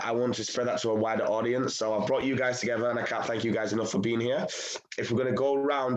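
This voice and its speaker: A man's voice: mid-range voice, low-quality recording. A man hosting a panel discussion over a video call, upbeat, friendly and open, with a radio presenter's ease.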